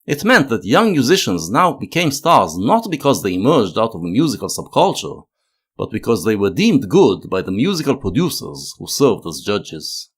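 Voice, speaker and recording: A man narrating a scripted analytical video essay in a measured, expressive way. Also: fairly low pitch, husky.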